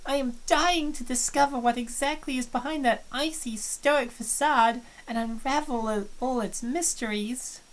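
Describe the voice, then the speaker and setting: A woman's voice: high voice, low-quality recording. A woman voicing a shy young college student who is obsessed with a boy, reading in an earnest, confessional way. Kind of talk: visual novel voice acting.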